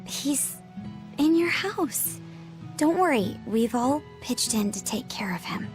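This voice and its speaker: A woman voicing a cheerful, warm young village girl in a dramatic anime-style game. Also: sits high, animated, slow, music in the background. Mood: earnest.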